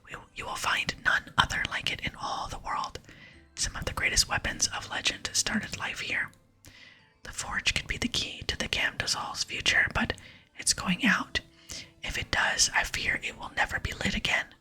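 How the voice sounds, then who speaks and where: Whispered, breathy solemn dwarf smith played by a woman, mournful yet hopeful game character, music underneath. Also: normal speed, bright, forceful tone. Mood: earnest, solemn. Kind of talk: ASMR game dialogue reading.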